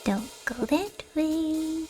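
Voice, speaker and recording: A woman's voice: high-pitched, drawn-out words, over music. A woman co-hosting a game playthrough with casual commentary.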